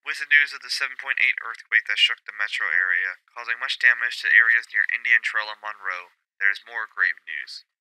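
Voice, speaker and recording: A grave male news anchor acted out by a man reads a scripted bulletin. The voice is very bright and forceful, crisp and a touch low. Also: a little fast, hardly any pauses, dry recording, low-quality recording. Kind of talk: scripted newscast acting.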